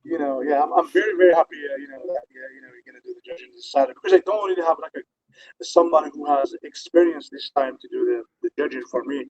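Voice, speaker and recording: A middle-aged man from Algeria with a North African French accent, chatting casually as an interview guest in halting, repetitive English. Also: on the high side, darkish tone. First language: not English. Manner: halting, repeats words and says "you know" constantly. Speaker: guest, strongman athlete and promoter. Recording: dull lo-fi live-stream audio.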